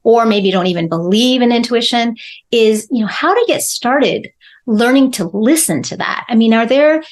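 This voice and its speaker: A woman's voice: fairly high pitch, moderate pace. A woman hosting a podcast, asking long, winding questions full of restarts and summing up what her guest says. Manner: rambling questions with many restarts. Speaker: podcast host. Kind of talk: podcast interview.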